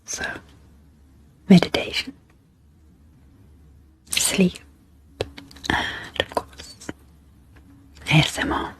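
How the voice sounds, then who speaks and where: A woman speaking very softly and breathily in an ASMR style, gently introducing guided relaxation with unhurried, pausing phrases. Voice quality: soft, breathy, half-whispered. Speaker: host, ASMR psychologist. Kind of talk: soft-spoken ASMR guided sleep meditation introduction.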